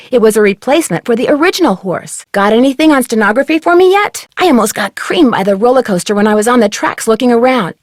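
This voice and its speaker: A woman's voice: a little high, dry recording, studio quality, close to an everyday voice. A woman playing an earnest, inquisitive teenage girl detective in a video game, chatting in articulate, conversational lines. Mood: intrigued, serious.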